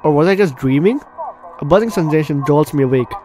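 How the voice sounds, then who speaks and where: A man's voice: fairly high pitch, expressive, brisk. A man doing gameplay commentary, reading story text aloud dramatically and stumbling over words now and then. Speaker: gaming video host. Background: game music.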